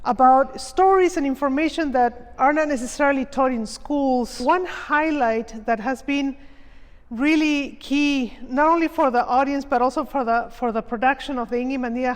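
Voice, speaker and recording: A woman directing a theater production, explaining its aims in a measured, reflective documentary interview. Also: sits high. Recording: long reverb.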